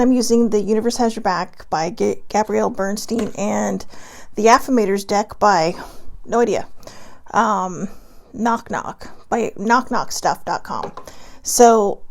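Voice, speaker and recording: A woman's voice: medium pitch, a little mellow. A woman raised in Hawaii chatting casually from home, bubbly and giggly as she rambles through a card reading. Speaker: vlogger doing a card reading. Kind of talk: casual livestream chat.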